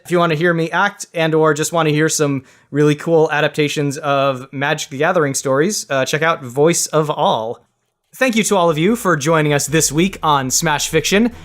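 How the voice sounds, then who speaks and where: Higher-voiced man hosting a podcast. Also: a bit bright. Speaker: podcast host. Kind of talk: casual podcast chat and announcements.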